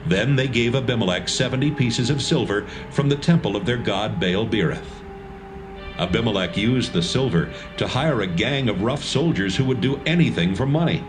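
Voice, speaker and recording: A man reads scripture narration in an even, measured storytelling voice. His pitch sits in the middle range for a man. A music score runs under the whole reading.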